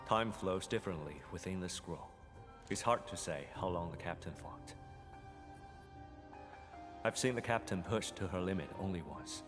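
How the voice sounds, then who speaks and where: An actor playing a stoic, terse military operative in a video game, speaking formally and bluntly. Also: male voice, deep voice, normal speed, pauses often, music in the background, natural voice. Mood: grave, calm.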